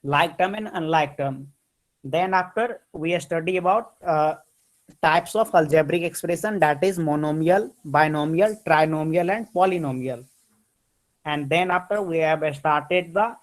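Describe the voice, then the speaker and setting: A man's voice: on the high side, low-quality recording. A man with an Indian accent teaching a maths class online over a computer mic, switching between English and Hindi and repeating his points.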